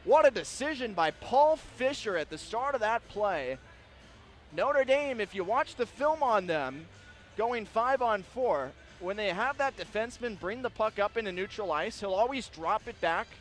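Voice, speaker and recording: A man calling live hockey play-by-play on the radio in an excitable manner, ready to build into shouted calls. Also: high voice, moderate pace, faint music behind. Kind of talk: live sports radio commentary. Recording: live broadcast with clear background noise.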